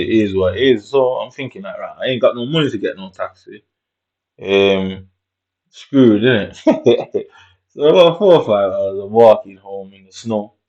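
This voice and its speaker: A man's voice: fairly low pitch, a little mellow. A man telling a story in a casual monologue, in streetwise slang, swearing and tagging lines with "innit". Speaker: vlogger. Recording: dull lo-fi recording.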